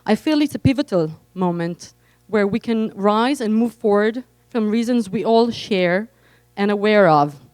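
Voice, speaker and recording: A woman's voice: medium pitch, animated, unhurried, darkish tone, no room sound. A woman from Israel with an Israeli accent, reading a prepared speech carefully as an entrepreneur. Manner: careful, read-aloud delivery.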